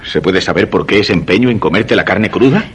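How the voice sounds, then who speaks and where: An actor with a Castilian accent playing a suspicious husband, narrating a dark suspense radio drama in measured, literary phrasing. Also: male voice, low-pitched, lively intonation, slight background noise. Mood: probing, reproachful. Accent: Castilian Spanish.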